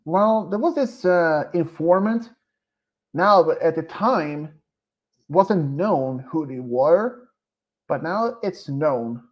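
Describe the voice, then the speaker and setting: Male streamer, Swedish accent, English not his first language; unhurried, rambling, full of fillers; muffled low-fi mic. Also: animated. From Sweden. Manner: hesitant and rambling, with many pauses and fillers. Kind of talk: casual live-stream chat.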